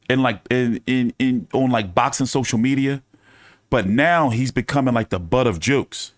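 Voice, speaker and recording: A man's voice: mid-range voice, no room sound, clean studio sound. A man hosting a boxing news channel in casual fan chat, talking loosely with cursing and repeating his phrases.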